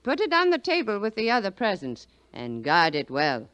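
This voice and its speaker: Imperious old widow, swooping delivery. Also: female voice, mid-range voice, huge pitch swings, moderate pace, fairly bright tone, no room sound, soft background music. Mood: brisk, confiding. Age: older. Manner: haughty, dryly sardonic. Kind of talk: 1940s radio acting.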